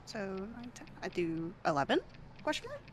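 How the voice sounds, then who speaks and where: Animated, giggly woman gamer. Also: mid-range voice, normal speed, slight background noise. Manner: giggly, hesitant, questioning. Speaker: tabletop game player. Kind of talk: casual in-game chat and narration.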